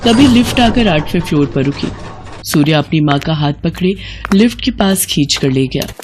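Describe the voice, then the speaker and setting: A woman's voice: low-pitched, animated, moderate pace, noisy background. A woman reading a scripted horror story as a dramatic narrator.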